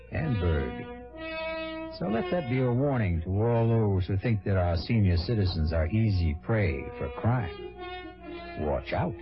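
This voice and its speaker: A man narrates a radio suspense show as its host. His lowish voice is wry, dramatic and very expressive. Music plays clearly behind a dull vintage recording. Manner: wry, deliberate.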